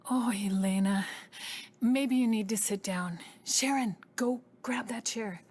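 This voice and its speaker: A woman's voice: fairly high pitch, slow pace, darkish tone, slightly rough. An actress playing a protective, soothing middle-aged mother in a screen drama. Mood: concerned. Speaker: supporting actress. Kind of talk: naturalistic screen drama acting.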